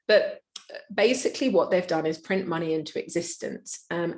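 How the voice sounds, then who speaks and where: A middle-aged woman talks an audience through her slides. Her voice sits on the low side, and her delivery stays conversational, full of small fillers and restarts. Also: a little mellow. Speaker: presenter. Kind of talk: live slide presentation.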